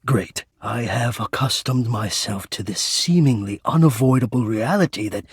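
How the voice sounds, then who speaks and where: Breathy, lovestruck, courtly gentleman. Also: fairly low pitch, no room sound, clean studio sound, exaggerated voice. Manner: formal, halting, earnest, romantically intense. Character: shy, lovestruck, courtly living ventilation system, adult male. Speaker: video game voice actor. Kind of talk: dating game character voice acting.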